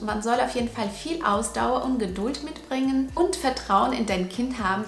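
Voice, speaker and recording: A woman, talking to camera at home and giving earnest parenting advice. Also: a little high. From Russia. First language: Russian. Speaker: parenting vlogger. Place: living room at home. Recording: roomy home echo.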